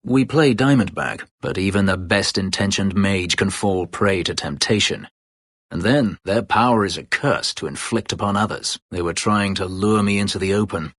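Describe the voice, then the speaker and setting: An actor playing a brooding, bitter escaped elven slave warrior in a video game, guarded and proud, with a husky voice and terse, formal phrasing. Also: male voice, moderate pace, fairly bright tone, no echo, clean studio sound. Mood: grave, guarded. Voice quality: husky, gravelly low voice. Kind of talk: dramatic video game dialogue.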